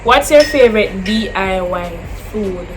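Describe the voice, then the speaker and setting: A woman's voice: mid-range voice, lively intonation, a little slow, music in the background. A twenty-year-old woman with a Jamaican accent, answering viewer questions in a casual chat to camera, slipping into Creole phrasing and repeating herself as she talks.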